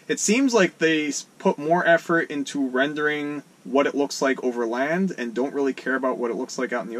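A man in his thirties, a sailing vlogger, chatting in a mock-earnest, deadpan way from a small wooden boat cabin. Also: mid-range voice, breathy edge. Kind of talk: deadpan satirical chat. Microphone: camera in front at close range.

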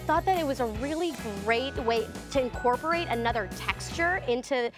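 A woman's voice: high voice, expressive, normal speed, over music. Bubbly young woman presenting crafts outdoors. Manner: bubbly, chatty, laughs often. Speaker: DIY designer on a lifestyle show. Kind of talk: cheerful how-to demonstration. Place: outdoor backyard TV set.

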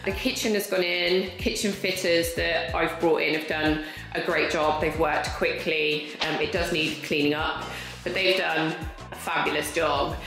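Vlogger, woman, 30s, candid and brisk, bright edgy tone, low register. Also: fairly even intonation, faint music behind. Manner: candid, matter-of-fact, brisk. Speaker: vlogger renovating her home. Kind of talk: casual talk to camera. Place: large house under renovation, bare rooms indoors. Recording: echoey empty rooms.

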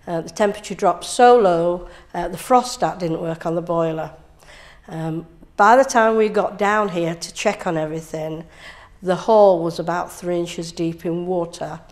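An older woman from England, a cub scout leader giving an earnest interview in a scout hall, halting with many fillers. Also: on the low side, a little mellow.

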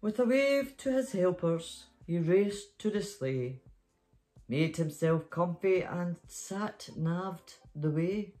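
A middle-aged woman reads a children's picture book aloud at a slow pace. She hosts story time from a bedroom, with faint music behind her. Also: fairly low pitch, animated, dark tone. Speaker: storytime host. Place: small bedroom, sitting up in bed.

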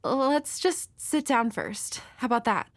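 A woman's voice: fairly high pitch, lively intonation, a little slow, dry recording. A woman playing a nervous, dorky young woman with a crush in an intimate roleplay audio, hesitant, stammering and apologetic. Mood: shy, flustered.

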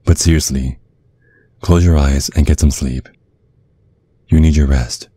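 A man playing a tender, protective vampire boyfriend in an ASMR roleplay, speaking in a soothing, coaxing, affectionate way with a husky voice. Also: very deep voice, fairly even intonation, measured pace, mellow, no echo, full character voice. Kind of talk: ASMR roleplay, intimate soft talk.

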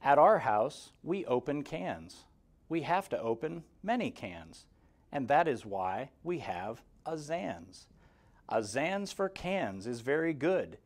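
A man in his forties reading a rhyming children's picture book aloud, expressively, in a measured sing-song with clear emphasis. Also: medium pitch, big pitch swings. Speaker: guest story reader for kindergarteners. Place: indoor room, seated in front of a banner. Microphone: lapel mic.

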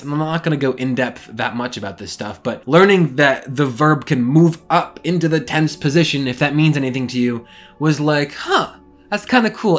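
A young man talking casually to his webcam in a small bedroom and explaining language learning ideas in an animated way. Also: mid-range voice. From English-speaking. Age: young adult. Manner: animated, gestures a lot while explaining. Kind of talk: casual talking-head vlog.

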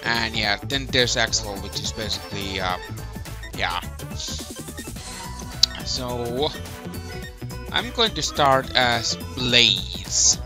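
A young man hosting a casual video game walkthrough, talking in a halting way over game music. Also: medium pitch, expressive. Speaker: gameplay video host.

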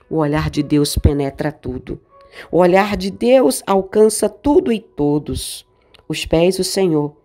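A middle-aged Brazilian woman, a bishop, preaching a measured daily devotional sermon with emphatic rises and drops. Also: fairly low pitch. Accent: Brazilian Portuguese. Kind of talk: slow devotional sermon over music. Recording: voice-over with soft background music.

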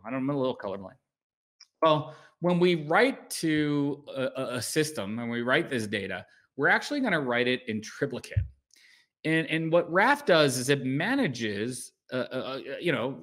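A man's voice: medium pitch, a bit bright. A middle-aged man giving a casual technical talk over slides on a video call from a room at home, often restarting and adding "right?". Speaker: guest speaker at a developer meetup.

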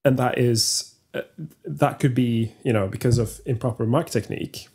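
A young man, a native Norwegian speaker and singer, co-hosting a casual video-call chat, explaining things in a measured way with hesitations. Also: medium pitch, darkish tone, husky. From Norway. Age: young adult. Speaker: podcast co-host, singer. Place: small room at home. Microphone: headphones with studio mic on video call.

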